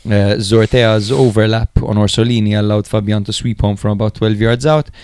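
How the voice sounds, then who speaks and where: A man's voice: on the low side, fairly even intonation, normal speed, darkish tone, clean tone. A man co-hosting a podcast, recapping and analysing football matches in an informal, chatty way. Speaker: podcast host.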